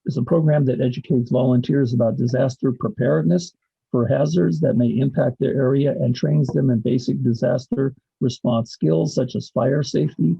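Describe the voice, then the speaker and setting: A man with a gravelly, husky voice presenting a slideshow as host on a video call. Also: medium pitch, dark tone, no echo, muffled recording. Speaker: host introducing a guest speaker.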